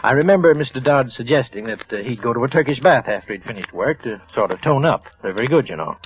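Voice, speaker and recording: A man's voice: medium pitch, animated, dull audio, slight background noise, lightly acted. Man playing a jittery guilty office clerk. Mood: defensive. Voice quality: halting, stammering, with nervous little laughs. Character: nervous guilty male office clerk, middle-aged. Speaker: narrator and lead character. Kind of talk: 1940s radio drama acting. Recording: old radio broadcast with music behind.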